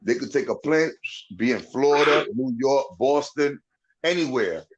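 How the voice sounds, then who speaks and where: A man's voice: medium pitch, lively intonation, moderate pace, highs cut off. A man in his forties, from the Dominican Republic, talking bluntly and profanely in casual chat, often tagging on "you know what I'm saying". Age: 40s. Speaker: podcast host.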